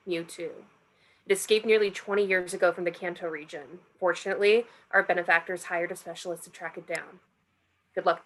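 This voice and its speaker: A woman's voice: fairly low pitch, a bit bright, rough recording. A woman reading the part of an earnest lab scientist dictating experiment logs in a table reading. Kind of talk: online script table reading.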